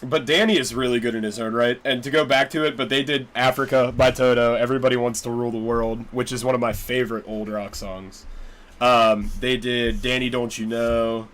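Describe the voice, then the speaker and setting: A young man in his twenties with an ordinary mid-range voice, hosting a podcast. He talks in a laid-back, chatty way, pausing on fillers and laughing easily. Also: mid-range voice, fairly even intonation, a bit bright. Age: 20s. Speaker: podcast host. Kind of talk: casual podcast chat.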